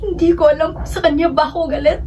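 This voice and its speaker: A woman's voice: sits high, steady tone, quick pace, a little mellow, far from the mic, slight background noise, close to an everyday voice. A woman with a Filipino accent playing a grieving, bitter student daughter in an amateur radio drama. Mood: bitter, angry.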